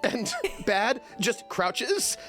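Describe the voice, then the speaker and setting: A man's voice: very high-pitched, big pitch swings, extremely slow, pushed and bright, faint music behind. A man playing a tabletop game, careful and pedantic, qualifying each point he raises. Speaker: player. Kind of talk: casual play at a tabletop game.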